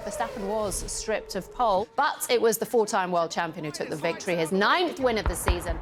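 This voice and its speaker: A woman reporter reading scripted news narration in a crisp delivery. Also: medium pitch, a little fast, over loud music.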